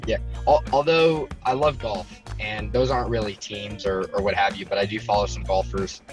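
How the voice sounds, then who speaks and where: Low-voiced man chatting casually. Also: steady tone, normal speed, soft background music. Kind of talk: casual chat.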